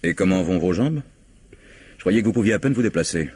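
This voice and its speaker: An actor playing a calm, skeptical police commissioner in an old-fashioned radio mystery, measured, dry and insinuating. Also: male voice, low voice, slightly rough. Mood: suspicious, probing.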